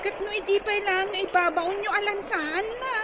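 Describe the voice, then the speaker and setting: A woman's voice: extremely high pitch, steady tone, normal speed, pushed and bright, slight background noise. A woman acting a pert, grumbling daughter of a village household in an Ilocano radio comedy-drama, with an Ilocano accent.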